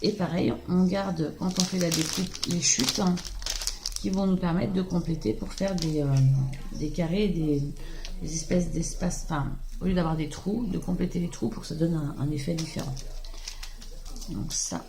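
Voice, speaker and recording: A woman with a hoarse voice from a cold, chatting casually and rambling with many fillers as she shows off her craft purchases at home. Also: on the low side, fairly even intonation, normal speed, background noise. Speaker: craft video host. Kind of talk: casual show-and-tell haul.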